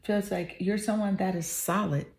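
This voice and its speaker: A slightly hoarse woman giving an earnest, affirming tarot reading to the viewer, often checking in with "okay?" and "you know". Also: mid-range voice, fairly even intonation, moderate pace, darkish tone. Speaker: tarot reader.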